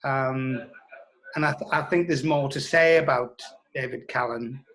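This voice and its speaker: A man talking reflectively in an interview, thoughtful and halting, repeating words for emphasis. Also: mid-range voice, a bit bright, a little hiss or hum, rough recording. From North East England. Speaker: interview guest, writer.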